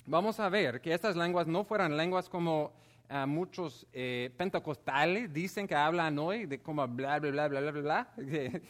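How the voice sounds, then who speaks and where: A man with a somewhat high voice teaches from the Bible in a relaxed sermon. His delivery is animated and conversational, and he often hesitates and restarts while explaining. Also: moderate pace. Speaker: preacher.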